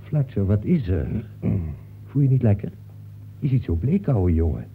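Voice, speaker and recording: An actor in a Dutch radio play, playing a talkative, breezy detective from a novel who nags in a familiar way. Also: male voice, fairly low pitch, big pitch swings, moderate pace, slight background noise. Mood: chummy, chatty. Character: talkative fictional detective come to life, chummy, reproachful. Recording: muffled phone-like old radio recording.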